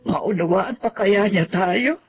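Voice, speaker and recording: A woman's voice: mid-range voice, takes it slowly, natural voice. Actress as a sorrowful mother, faint background noise. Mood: grieving. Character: sorrowful middle-aged mother, longing for estranged son. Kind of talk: Filipino radio drama acting.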